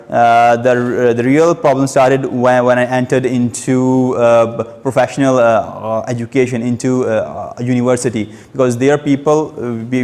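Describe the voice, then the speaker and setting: Young man, stammering speaker, slow and halting, hall reverb. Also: mid-range voice, a little mellow. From Pakistan. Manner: stammers, with frequent hesitations and repeated sounds. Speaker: club member giving a speech. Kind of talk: prepared personal speech.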